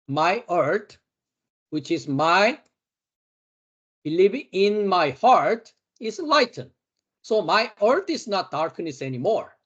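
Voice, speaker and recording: A man with a Korean accent, a Bible teacher giving a study lecture, slowly and emphatically repeating key words. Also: fairly high pitch, highs cut off.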